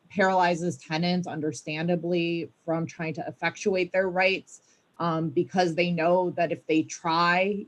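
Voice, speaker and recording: A woman speaks as an organizer on an online panel. Her voice is a little lower than average. She is earnest and articulate, building long unscripted sentences with rather formal word choices. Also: low-quality recording. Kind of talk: spontaneous, articulate panel answer. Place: video call.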